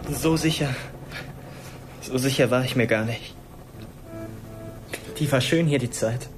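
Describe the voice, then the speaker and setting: A man's voice: medium pitch, unhurried, music in the background, lightly acted. An actor playing a sullen, insecure teenage boy in a naturalistic German radio drama, defiant yet hesitant, answering tersely. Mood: vulnerable, confessional. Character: sullen, insecure teenage boy school shooter craving fame.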